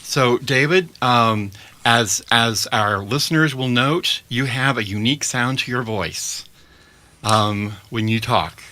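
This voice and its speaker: Bright-voiced radio host reading an intro. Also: male voice, mid-range voice. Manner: measured, reads a prepared opening. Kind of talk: scripted intro and interview. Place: radio studio.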